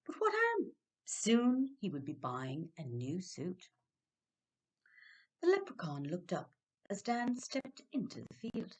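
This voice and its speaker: An Irish-accented woman reading a children's story expressively, with dramatic timing and hushed suspense. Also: medium pitch, wildly swooping pitch, slow, long pauses. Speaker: library storyteller.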